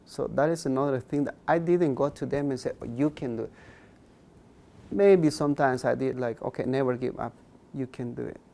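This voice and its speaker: A man's voice: mid-range voice, normal speed, gravelly, dark tone. A young man, a Mayan speaker talking earnestly as an interview guest, repeating words for emphasis. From Guatemala. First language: K'iche' Mayan. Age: young adult.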